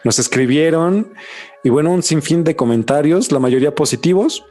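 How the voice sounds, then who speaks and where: A man's voice: on the high side, animated, normal speed, darkish tone, faint music behind. A man hosting a podcast, moving between scripted presenting and casual chat. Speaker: podcast host.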